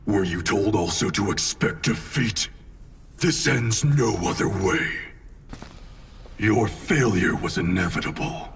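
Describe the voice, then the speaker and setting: An actor playing a proud, stern, defiant warrior clan leader in a video game cutscene, speaking in terse, commanding phrases. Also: male voice, on the low side, expressive, takes it slowly, recorded from a distance, noisy background. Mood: cold defiance.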